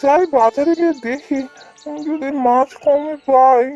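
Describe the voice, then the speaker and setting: A woman's voice: high voice, measured pace, darkish tone, muffled recording, soft background music. A woman playing a poor village boatman in a fairy-tale cartoon, fretful and plain-spoken. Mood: worried.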